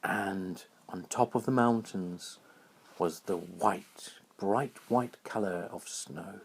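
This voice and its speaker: A man narrating a children's bedtime story in a dramatic, drawn-out storytelling manner. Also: deep voice, husky.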